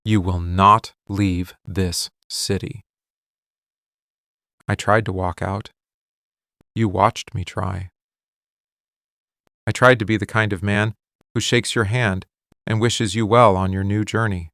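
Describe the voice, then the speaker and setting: A man playing a possessive, brooding mafia boss lover in a scripted boyfriend ASMR roleplay, menacing and commanding, with deliberate dramatic pauses. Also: lowish voice, steady tone, a bit bright, no echo, clean studio sound.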